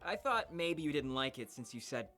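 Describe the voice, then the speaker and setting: A man's voice: a little high, sing-song, normal speed, bright, forceful tone. A man voicing an eager, goofy middle-school boy in a visual novel, with music behind him. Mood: earnest, nervous.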